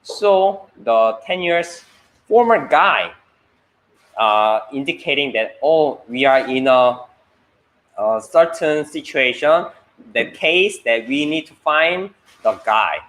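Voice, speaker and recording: A man who speaks Korean natively, co-hosting a casual language chat in halting English with many fillers. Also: on the high side, expressive, a bit bright, low-quality recording. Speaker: co-host teaching Korean.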